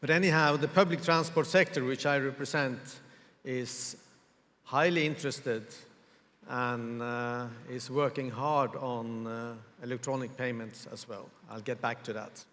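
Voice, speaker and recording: A man from Norway gives a formal conference presentation in English, measured and pausing often to find his words. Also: medium pitch, fairly even intonation, a bit bright. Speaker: conference speaker. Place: large conference hall. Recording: reverberant hall with long echo.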